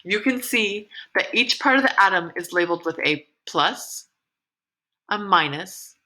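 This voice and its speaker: Bright-voiced woman teacher reading aloud. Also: medium pitch, lively intonation, unhurried. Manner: encouraging, explains as she reads, with slips and self-corrections. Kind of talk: reading a science article aloud for a class.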